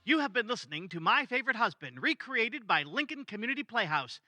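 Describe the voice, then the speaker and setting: A man's voice: very high-pitched, big pitch swings, brisk. A man reading a scripted radio announcement as program announcer.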